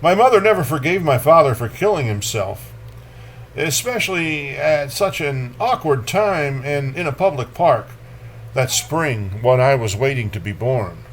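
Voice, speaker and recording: A man hosting a poetry reading, delivering verse in a dramatic, deliberate manner with casual commentary. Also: medium pitch, expressive, a little hiss or hum, rough recording. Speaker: poetry reader and host.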